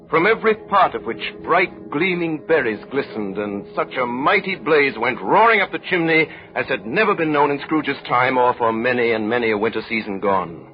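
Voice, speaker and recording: A man narrating a story in a dramatic, measured 1930s radio drama style. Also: medium pitch. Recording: muffled 1930s radio broadcast.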